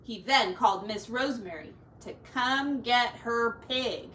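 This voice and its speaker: Female teacher for children's read-aloud: high, expressive, unhurried, folksy, recorded a little far from the mic with faint noise. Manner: expressive, drawn-out words, folksy asides to young listeners. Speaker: teacher reading a storybook aloud. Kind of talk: animated children's storybook read-aloud with chatty asides.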